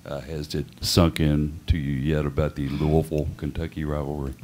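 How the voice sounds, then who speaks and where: A male sports reporter asking halting questions with many uhs at a press conference. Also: rumbling low, little pitch movement, darkish tone, slight background noise.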